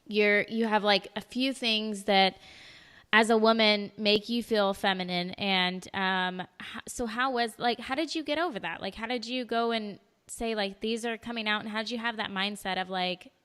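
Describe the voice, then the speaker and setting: A woman hosts a podcast and asks her questions softly, searching for words as she goes. Her voice is smooth and clear. A long reverb trails behind it. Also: mid-range voice, steady tone, moderate pace. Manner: soft-spoken, hesitant, many fillers. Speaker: podcast host. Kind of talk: casual interview questions.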